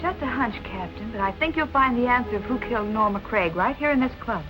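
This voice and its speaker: An actress playing a stubborn, determined young amateur sleuth, brisk and self-possessed, in 1940s film noir style. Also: female voice, fairly high pitch, lively intonation, music in the background, lightly acted. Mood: intent, probing. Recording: noisy old film soundtrack.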